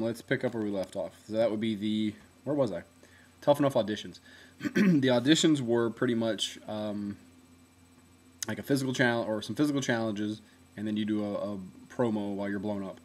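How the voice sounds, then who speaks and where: Deep-voiced young man telling a story. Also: a little mellow. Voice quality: deep voice that swallows words at times. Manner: chatty storytelling with fillers and self-corrections. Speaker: former reality show contestant. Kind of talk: casual video diary.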